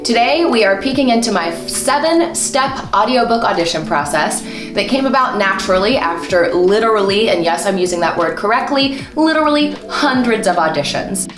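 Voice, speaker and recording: A woman's voice: mid-range voice, animated, normal speed, echoey room, music in the background. A woman in her thirties, a voice actor, hosting an upbeat instructional vlog to camera from a small room at home and gesturing a lot as she talks. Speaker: voice actor and host.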